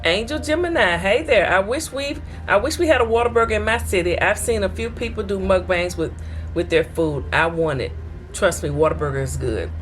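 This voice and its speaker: A woman's voice: mid-range voice, fairly quick, faint music behind. A woman from Texas, hosting an eating show and reading viewer comments aloud in a chatty, folksy way. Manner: chatty, folksy, talks straight to her viewers.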